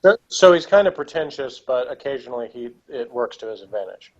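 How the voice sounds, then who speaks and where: A man's voice: medium pitch, expressive, a little fast, muffled recording. A man chatting casually and unscripted as a reviewer, with a wry, joking manner. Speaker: TV episode reviewer.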